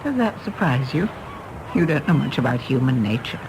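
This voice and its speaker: Wistful wry english lady in a radio play, bit of creak, faint noise behind. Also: rumbling low, big pitch swings, exaggerated voice. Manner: measured, genteel, wry. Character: wistful, wry middle-aged Englishwoman, once lovelorn mother. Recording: faint background noise and ambience.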